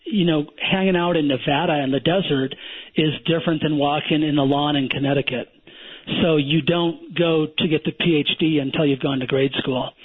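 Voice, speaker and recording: A survival instructor, a guest on a radio show, talking bluntly and emphatically like a lecturer. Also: male voice, fairly high pitch, steady tone, moderate pace, husky. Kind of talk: phone-in radio interview. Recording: thin phone line.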